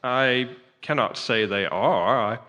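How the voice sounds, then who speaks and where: Formal, pedantic professor in reverb. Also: male voice, mid-range voice, slow pace, bright, forceful tone. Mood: uneasy, tactful. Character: fussy, pompous male astronomy professor, a stranger in town. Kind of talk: radio drama acting. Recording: reverberant, echoey hall sound.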